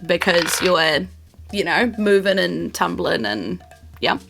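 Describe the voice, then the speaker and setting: A woman's voice: lowish voice, steady tone, slow, gravelly, over music. A woman improvising as game master, conversational and encouraging. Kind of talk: improvised tabletop game narration.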